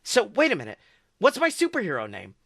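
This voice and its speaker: A man doing an excitable teen superhero boy. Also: sits high, vocal fry, no room sound, clean studio sound, pitched above the performer's own voice, exaggerated voice. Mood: excited. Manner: eager, rapid questions. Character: excited teenage boy who just became a superhero.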